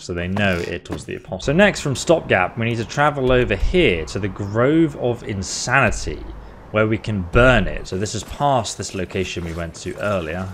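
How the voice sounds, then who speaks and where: A man's voice: on the low side, a little hiss or hum. A man giving casual, playful game playthrough commentary as a video host, chatting and reacting aloud as he goes.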